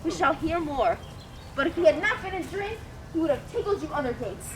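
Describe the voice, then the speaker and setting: A foolish, wounded knight, played by a woman in an amateur Shakespeare performance. The voice is extremely high and given to theatrical, complaining outbursts. The recording is plainly noisy. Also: expressive, brisk. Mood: sulky. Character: foolish cowardly injured knight, wailing and complaining. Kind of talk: amateur Shakespeare stage acting in verse and prose.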